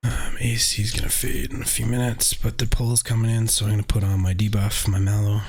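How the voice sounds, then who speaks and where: A man with a deep voice casually commentating his own online game session, thinking aloud and swearing now and then. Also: monotone, fairly quick, gravelly, a little mellow, slight background noise. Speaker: gaming channel host. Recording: game music behind the voice.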